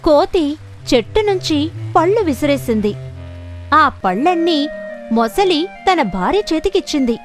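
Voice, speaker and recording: A woman with a high, very animated voice reads a children's story. She tells it expressively, with soft music underneath. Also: a little slow, long pauses. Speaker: story narrator. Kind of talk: scripted children's story narration.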